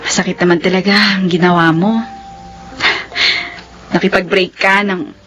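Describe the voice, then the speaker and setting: A woman's voice: on the low side, little pitch movement, moderate pace, a bit bright, a little hiss or hum, close to an everyday voice. An actress playing a hurt, guarded young woman in love in a radio drama, hesitant and questioning, recorded a little dully.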